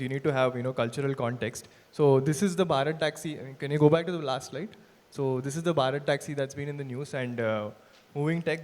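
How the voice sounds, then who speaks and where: A man working as a performance marketer, speaking on stage at an event with many fillers and pauses. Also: medium pitch, darkish tone. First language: not Hindi. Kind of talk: stage talk. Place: large event hall. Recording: reverberant hall PA sound.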